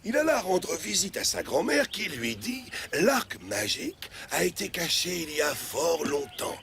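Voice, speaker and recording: Slow, swooping old village storyteller. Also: male voice, on the high side, a little hiss or hum, full character voice. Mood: dramatic storytelling. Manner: theatrical, expressive storytelling. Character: kindly old male village storyteller, patient and theatrical. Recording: music behind the voice. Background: music.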